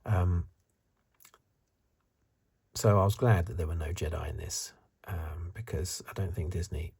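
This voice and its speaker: Male ASMR reviewer: low, mellow, very husky and breathy, soft-spoken to half-whispered, halting musing delivery, close-miked. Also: fairly even intonation. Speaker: host of an ASMR review channel.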